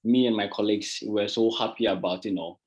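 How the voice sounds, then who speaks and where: A man from Ghana giving a relaxed, conversational presentation on a video call, with many fillers. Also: lowish voice, fairly quick, breathy edge. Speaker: guest artist presenter. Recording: dull lo-fi call audio.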